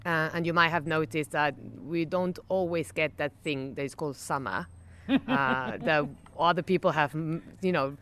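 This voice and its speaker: A middle-aged woman from Argentina whose first language is Spanish, answering interview questions in English with an Argentine accent, pausing often with fillers and restarts. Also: low-pitched, fairly bright tone, a little hiss or hum. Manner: halting, with many fillers and restarts. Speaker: wine estate general manager. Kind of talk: conversational interview. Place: outdoor garden.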